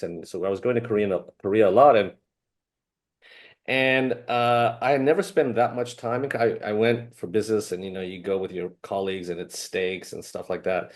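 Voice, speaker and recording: A man's voice: lowish voice, a little mellow. A man hosting an interview, asking long, rambling questions with many fillers. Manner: rambling, loosely built questions. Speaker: host. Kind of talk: casual interview talk.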